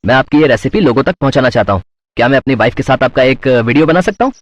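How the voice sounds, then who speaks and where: A man's voice: medium pitch, animated, fast talker, no echo, muffled recording, pitched below the performer's own voice, lightly acted. A man playing a polite, enthusiastic food blogger in a cartoon story. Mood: helpful. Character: cheerful male food blogger, family man. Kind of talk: Hindi moral-story cartoon voice acting.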